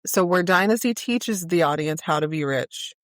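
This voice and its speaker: Lowish-voiced woman interviewer, dry sound. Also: expressive, moderate pace, no room sound. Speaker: podcast host, interviewer. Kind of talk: casual interview chat.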